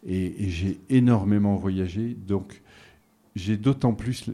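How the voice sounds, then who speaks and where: A man's voice: on the low side, slow pace, dark tone. A middle-aged man from southern France, talking through his life in an interview with many fillers and restarts. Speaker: interview guest. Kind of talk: relaxed conversational interview.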